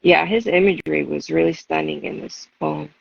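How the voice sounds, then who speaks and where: Female poet, raspy and fry-tinged, measured and expressive, on muffled audio. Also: deep voice, no echo. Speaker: poet and guest reader. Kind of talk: spoken-word poetry reading and casual chat. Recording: dull, lo-fi audio.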